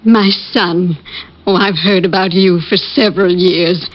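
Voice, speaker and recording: A woman playing a gentle, anxious middle-aged widow, politely hesitant, in 1940s radio drama style. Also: on the low side, fairly even intonation, a little slow, close to an everyday voice. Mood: worried. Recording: muffled old-time radio recording.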